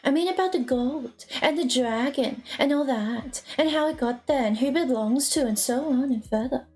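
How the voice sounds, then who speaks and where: Woman playing a fussy, creaky-voiced little hobbit. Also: high voice, moderate pace, darkish tone. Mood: hesitant, curious. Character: Bilbo, fussy, flustered yet determined little male hobbit.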